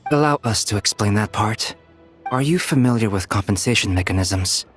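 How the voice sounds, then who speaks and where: A calm, learned game character with a fairly low voice. He explains in measured, clinical phrases, like a lecturer. Music plays faintly behind him. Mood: composed, explanatory. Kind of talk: scripted game dialogue, exposition.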